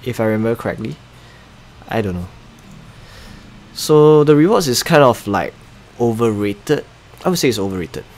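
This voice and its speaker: A man's voice: medium pitch, lively intonation, moderate pace, pauses often. A young Singaporean man with a Singaporean accent, chatting casually while live-streaming a mobile strategy game, muttering asides and reading viewer chat aloud.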